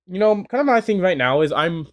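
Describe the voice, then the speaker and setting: A man's voice: high-pitched, very animated, brisk. A twenty-year-old man from the United States giving casual, rambling reaction commentary, full of "you know" fillers. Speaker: YouTube reactor.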